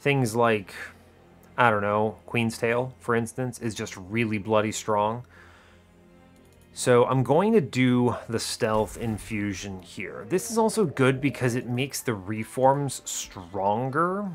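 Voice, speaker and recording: Male gaming host, mid-pitched, reasoning through each move deliberately, with music behind him. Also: animated. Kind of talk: strategy game commentary, thinking aloud.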